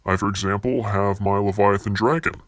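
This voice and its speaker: Guy doing a deadpan anime duelist buddy, lowish voice, skeptical. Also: a little fast, no echo, clean studio sound, lightly acted. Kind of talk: fan anime voice acting.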